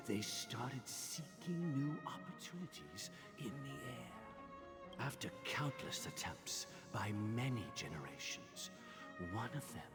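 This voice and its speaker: An actor playing a kindly, wise older male guardian in a video game, musing and softly patronising as he talks. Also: on the low side. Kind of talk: video game cutscene acting. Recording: long reverb, music behind.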